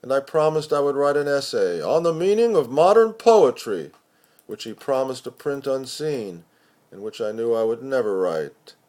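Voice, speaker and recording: A man giving an expressive poetry recitation with measured, deliberate phrasing. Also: mid-range voice. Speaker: poetry reader.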